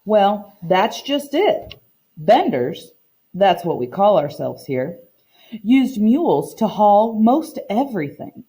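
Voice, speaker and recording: A woman voicing a kindly, proud old Southern woman in a children's picture book read-aloud, with an unhurried, folksy way of talking. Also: mid-range voice, sing-song, warm tone. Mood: reminiscing. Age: older.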